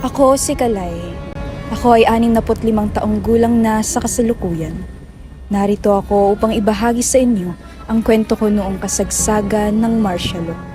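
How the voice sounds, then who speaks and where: A woman's voice: medium pitch, fairly even intonation, moderate pace, lightly acted. A woman with a Filipino accent playing a sorrowful 65-year-old narrator looking back in a scripted radio drama. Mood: solemn. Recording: music bed under amateur radio drama.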